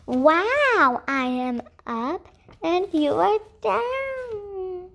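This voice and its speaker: A woman's voice: extremely high pitch, very animated, very slow, a bit bright, voice pushed up, exaggerated voice. A woman voicing a perky, eager, playful little unicorn in a bouncy, exclaiming manner for a children's picture book. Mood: excited.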